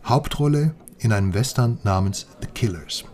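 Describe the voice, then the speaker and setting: A man's voice: medium pitch, animated, moderate pace, darkish tone, lightly acted. An actor playing a chatty, eager sidekick in a radio crime drama, colloquial and proud of what he has found out. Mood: eager, gossipy.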